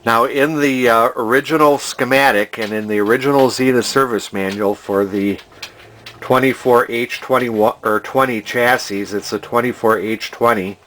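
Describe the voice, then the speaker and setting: A man's voice: medium pitch, fairly even intonation, normal speed, a bit bright, a little hiss or hum. An older man, casually narrating a repair as a hobbyist, rambling and hesitating as he thinks aloud. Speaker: hobbyist vintage TV restorer.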